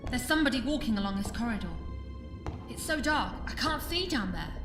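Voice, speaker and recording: A woman's voice: on the high side, lively intonation, fairly quick, natural voice. A woman playing a sceptical, bossy, easily exasperated television ghost hunter in an audio drama. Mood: uneasy. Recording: roomy echo with music underneath.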